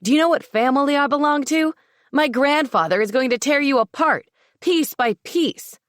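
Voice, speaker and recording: A woman voicing a cruel young man who shouts abusive threats at his ex-wife. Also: low-pitched, steady tone, normal speed, clear, resonant tone, no echo, studio quality, voice pushed down. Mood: raging. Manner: snarling, loud, abusive threats.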